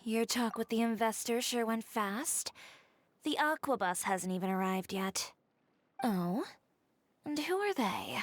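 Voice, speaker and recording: A woman playing a cool, composed young seamstress in a video game, blunt, brisk and self-assured. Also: fairly high pitch, animated. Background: game music.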